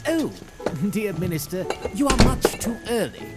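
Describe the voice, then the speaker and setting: A man's voice: sits high, animated, normal speed. An actor playing an eccentric, absent-minded male wizard mentor in a fantasy audio drama, with theatrical, cheerful delivery. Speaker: Magus Elgar. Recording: music behind voice.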